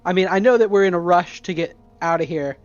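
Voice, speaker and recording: A man playing a tabletop roleplaying game, casually deliberating with halting phrases. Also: high voice, dry recording. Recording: dull audio, faint music behind.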